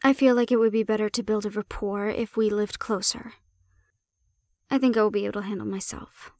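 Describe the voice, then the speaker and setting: A woman's voice: medium pitch, steady tone, fairly quick, a little mellow, no room sound, close to an everyday voice. A woman plays a bold female handler in an audio drama, recorded dry and close. She is sardonic and blunt, teasing but assertive, and sounds completely unintimidated. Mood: wry, confident. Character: bold, cocky non-human female handler, sardonic and unafraid. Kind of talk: audio roleplay acting.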